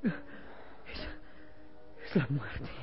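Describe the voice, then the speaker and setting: A man's voice: very slow, lo-fi sound, faint music behind. An actor playing a frightened, suffocating young boy in an old radio serial, gasping for breath. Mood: weak, fearful.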